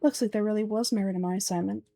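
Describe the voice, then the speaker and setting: A woman voicing a cool, sardonic young male scribe from a game, speaking in a measured way. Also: mid-range voice, fairly even intonation, gravelly, warm tone, no room sound, soft background music, natural voice. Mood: detached. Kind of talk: game dialogue dub.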